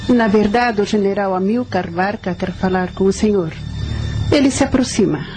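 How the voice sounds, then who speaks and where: An actress playing a submissive but candid spirit medium, speaking deferentially in a radio drama. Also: female voice, mid-range voice, normal speed, darkish tone, soft background music, natural voice. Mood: deferential, uneasy.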